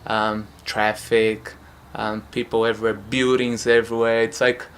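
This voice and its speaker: A young man from Brazil with a Brazilian Portuguese accent, answering interview questions at home in a relaxed, hesitant way with many fillers. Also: on the low side, normal speed, a little hiss or hum. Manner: relaxed, hesitant, frequent fillers and light laughs. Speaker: futsal player. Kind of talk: casual interview.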